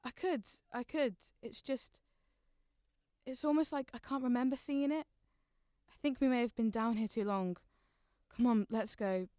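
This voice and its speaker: Woman as high-voiced, doubtful submarine crewmate. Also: steady tone, normal speed, long pauses, warm tone, clear, resonant tone, no echo, clean studio sound, close to an everyday voice. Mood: uncertain. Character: female submarine crew member, doubtful and practical. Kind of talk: radio drama acting.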